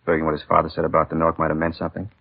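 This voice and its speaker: A man playing a plain-spoken, steady police detective partner in 1950s radio acting, husky and matter-of-fact. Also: a bass voice, very fast, heavily acted. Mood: businesslike. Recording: dull 1950s radio recording.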